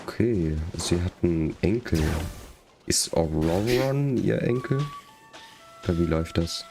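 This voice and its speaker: Male game streamer, low voice, casual chat and read-aloud dialogue, faint game music underneath. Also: expressive, unhurried. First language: German. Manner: chatting to viewers, reading subtitles aloud. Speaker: game livestreamer. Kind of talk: casual live gaming commentary.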